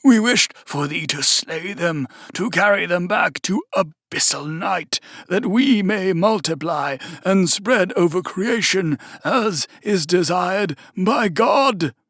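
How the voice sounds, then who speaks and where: Man playing a jittery old cultist for a storybook, high, slow, stiffly ceremonial. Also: expressive, a bit bright, no echo, studio quality, exaggerated voice. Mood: solemn. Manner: solemn, archaic, stiff delivery. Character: nervous old male cult leader, pompous and faltering.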